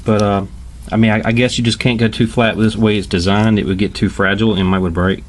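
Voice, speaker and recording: Low-voiced man over clear background noise. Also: level tone, fast talker. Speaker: toy reviewer. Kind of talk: casual hands-on demonstration. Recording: clearly noisy home recording.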